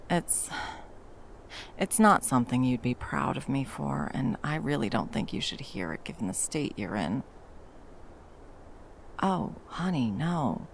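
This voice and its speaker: Woman acting as slow-talking, low-voiced protective monster huntress. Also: vocal fry, slight background noise, full character voice. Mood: hesitant, concerned. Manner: halting, coaxing, speaking to a beloved. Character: wandering female monster hunter, fiercely protective, tender lover. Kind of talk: intimate ASMR roleplay.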